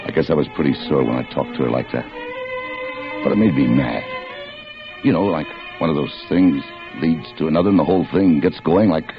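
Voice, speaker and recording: Vintage radio drama: bitter, slangy American husband, down on his luck and tough-talking, deep voice, muffled recording. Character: bitter, defensive out-of-work husband telling his own crime story. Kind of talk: 1940s-50s American radio acting, hardboiled first-person narration.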